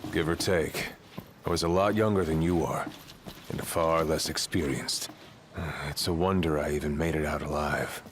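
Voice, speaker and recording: An actor playing a seasoned older warrior mentor in a video game, gruff and steady, with a measured, wry, understated delivery. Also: male voice, very deep voice, noisy background. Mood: grave, steady. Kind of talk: dramatic video game voice acting. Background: loud music.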